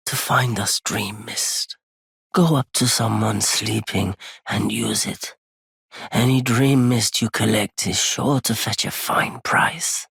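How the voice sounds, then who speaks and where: An actor playing a weary, courteous wandering merchant in a video game, with a husky, breathy, gravelly voice and measured, formal speech. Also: male voice, fairly low pitch, dry recording, clean studio sound, exaggerated voice. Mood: polite, weary. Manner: measured, hushed, formal wording. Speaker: merchant character. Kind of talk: dramatic video game voice acting.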